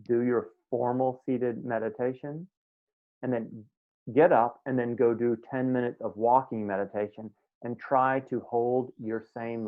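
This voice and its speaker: A man teaching a meditation class in a casual, conversational way, often checking that his students follow. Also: medium pitch. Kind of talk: live online class teaching. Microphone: video call. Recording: tinny phone-like line.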